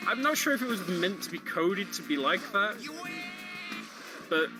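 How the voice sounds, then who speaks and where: A man's voice: high voice, expressive, moderate pace. A man giving casual running commentary on a video game, explaining how it plays. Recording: game music under the voice. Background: loud game music.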